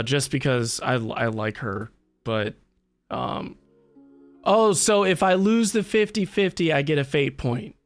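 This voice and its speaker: A man hosting a casual game livestream, rambling and thinking aloud as he talks to viewers. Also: sits high. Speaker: livestream host playing a video game. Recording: game music behind the voice. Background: video game music.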